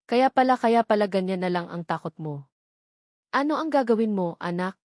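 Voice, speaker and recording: A woman's voice: medium pitch, animated, moderate pace, darkish tone, no echo, studio quality, lightly acted. Woman acting a motherly, concerned older neighbour. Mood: worried. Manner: caring, worried.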